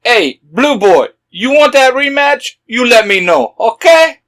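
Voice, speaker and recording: A man's voice: very high-pitched, lively intonation, measured pace, fairly bright tone, voice pushed up, full character voice. A man voicing a brash but loyal male mutant rogue with pushy, emphatic delivery in a story reading. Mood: challenging.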